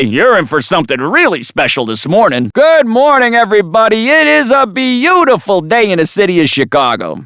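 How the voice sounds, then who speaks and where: Brash American game announcer, high-pitched, very animated, folksy wisecracking showman, punchy scripted hype. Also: dry recording. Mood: breezy, dramatic. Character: brash, wisecracking, excitable male city radio race announcer. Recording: slightly dull lo-fi game audio.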